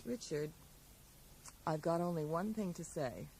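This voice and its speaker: Animated, wry, sceptical woman. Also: deep voice, a little slow, vocal fry, exaggerated voice, low-quality recording. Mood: exasperated. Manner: dry, teasing. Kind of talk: radio drama acting. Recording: mild hiss, 1980s radio drama.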